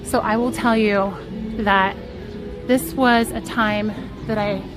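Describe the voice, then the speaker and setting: A woman live-streaming from a theme park, telling a story in a chatty, giggly, self-deprecating way. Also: on the high side. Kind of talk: casual storytelling to a live chat. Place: outdoors at a theme park on a rainy day. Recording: park music and crowd noise behind.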